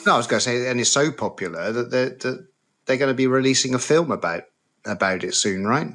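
Chatty middle-aged co-host from the UK. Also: male voice, medium pitch, animated, a little fast, over music. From United Kingdom. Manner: chatty, hesitant, with fillers. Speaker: podcast co-host. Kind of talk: casual chat.

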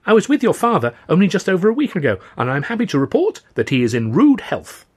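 A man's voice: medium pitch, big pitch swings, normal speed, husky, pitched above the performer's own voice. A man voicing an eager, naive young comic in an audiobook, full of polite, gushing, old-fashioned exclamations. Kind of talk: audiobook character voice.

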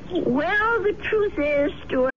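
Casting: motherly older landlady, fussing and chatty, high swooping voice, muffled vintage radio drama. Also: expressive, slow, faint music behind, heavily acted. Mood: confiding. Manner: fussing, chatty, hesitant asides. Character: fussy, motherly older landlady with a secret to tell. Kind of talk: 1970s radio drama acting. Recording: muffled, noisy old radio broadcast.

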